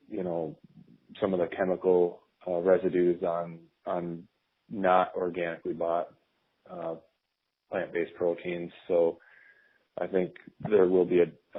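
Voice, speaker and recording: Low, husky man on a phone line. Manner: halting, repeats words. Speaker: industry panelist from a company. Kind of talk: unscripted business commentary. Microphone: telephone. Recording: narrowband muffled teleconference phone line.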